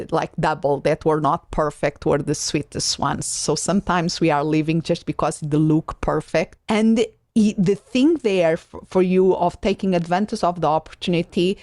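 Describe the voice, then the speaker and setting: A woman podcast host with a Portuguese accent speaks in a fairly low voice. She is enthusiastic but halting, circling back to rephrase as she talks. The sound is close and dry, with little room around it. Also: a little mellow, dry recording. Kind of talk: conversational interview.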